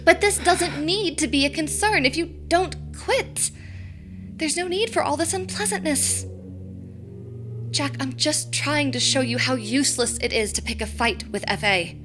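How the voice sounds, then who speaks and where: An actress playing a menacing corporate branch manager in an audio drama, composed, condescending and businesslike. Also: female voice, high-pitched, a little slow, music in the background.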